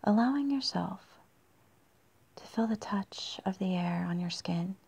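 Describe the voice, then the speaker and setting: A woman leading a guided meditation in a soft, breathy, husky near-whisper with long pauses, in a dull, muffled recording. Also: medium pitch, lively intonation, a little hiss or hum.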